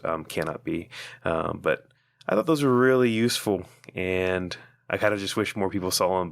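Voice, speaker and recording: Low-voiced man in casual chat. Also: gravelly, a bit bright, no room sound. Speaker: podcast co-host and content creator.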